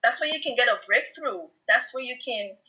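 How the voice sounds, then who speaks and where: A woman's voice: fairly high pitch, expressive, fairly quick, sharp tone, husky, muffled recording. A Belgian woman whose first language is Dutch, a guest in a casual interview chat, talking emphatically and saying "you know" often.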